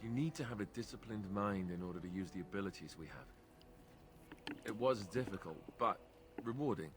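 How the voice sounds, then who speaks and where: An actor playing a wry, self-deprecating young warrior in a fantasy video game, with dry, conversational joking. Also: male voice, on the low side, moderate pace, lightly acted. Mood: candid, reflective. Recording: game audio with prominent background music. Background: game music.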